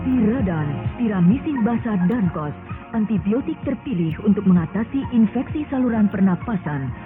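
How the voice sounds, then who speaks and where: A dull old radio recording with clear music behind features a woman commercial announcer. Her mid-pitched voice delivers scripted advertising narration. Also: animated, moderate pace.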